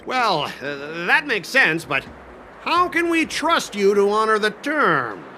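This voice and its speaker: A man's voice: high-pitched, huge pitch swings, slow, bright, forceful tone, a little hiss or hum, full character voice. A man voicing a stubborn, blustering corporate cargo officer in a video game, indignant, officious and argumentative. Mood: officious, wary. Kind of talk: animated video game voice acting.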